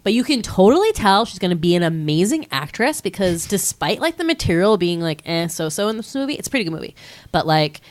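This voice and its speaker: A woman in her thirties co-hosting a casual movie podcast, stuffy from a cold, chatting in a lively way and swearing casually. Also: fairly low pitch, fairly quick. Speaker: podcast co-host.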